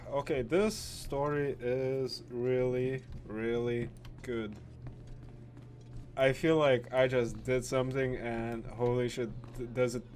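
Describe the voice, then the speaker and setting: A man giving casual gameplay reactions as a video host, speaking in a halting, informal, sweary way. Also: medium pitch.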